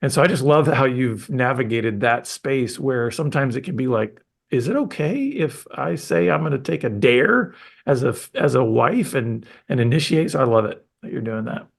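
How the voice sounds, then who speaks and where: A man's voice: mid-range voice, sing-song, moderate pace, gravelly. A man hosting a podcast, chatting with his guest in an enthusiastic, rambling way with frequent restarts. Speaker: podcast host. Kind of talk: casual interview chat.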